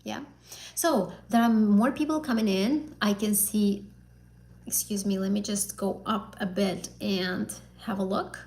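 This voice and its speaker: A woman's voice: medium pitch, unhurried, a little mellow. A young woman from Azerbaijan, whose first language is Azerbaijani, answering viewers' questions on a live stream as an English exam tutor, chatty and often rephrasing herself. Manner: chatty, repeats and rephrases, many fillers.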